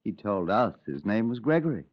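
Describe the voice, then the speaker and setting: An actor playing a firm, suspicious police inspector who speaks deliberately, on a dull old radio recording. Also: male voice, on the low side, sing-song. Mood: stern. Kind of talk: 1950s radio drama.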